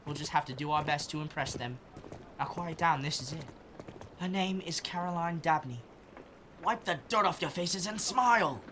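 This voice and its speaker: A woman's voice: deep voice, normal speed, noisy background, lightly acted. A woman playing a shrewd, earnest boy who commands a band of street children in an audio drama. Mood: bossy, dry. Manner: commanding, matter-of-fact. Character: young boy leading street urchins, bossy, earnest, shrewd. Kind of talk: scripted audio drama acting.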